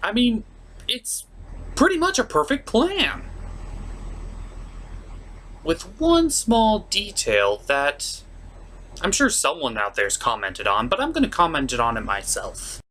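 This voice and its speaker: A man's voice: fairly high pitch, huge pitch swings, takes it slowly, long pauses, bright, forceful tone. A man giving a casual scripted review commentary with a theatrical, emphatic delivery. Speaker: cartoon reviewer and show host. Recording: noticeable background noise.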